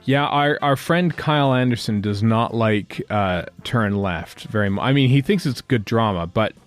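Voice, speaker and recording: A man's voice: fairly low pitch, moderate pace, faint music behind. A man hosting a podcast, chatting casually about a TV series in a jokey way. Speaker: podcast host.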